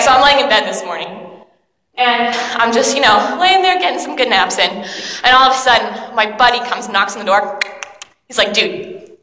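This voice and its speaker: A woman performing a dramatic monologue as an excitable, self-doubting young city dweller, telling the story breathlessly with slangy fillers. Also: mid-range voice. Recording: long reverb of a large hall.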